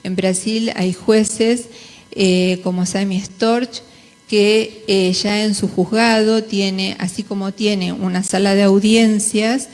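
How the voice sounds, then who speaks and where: Slow-paced Argentine woman in a reverberant hall. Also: mid-range voice, little pitch movement, darkish tone. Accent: Argentine Spanish. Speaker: conference speaker, jurist. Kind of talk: conference presentation. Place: large conference hall.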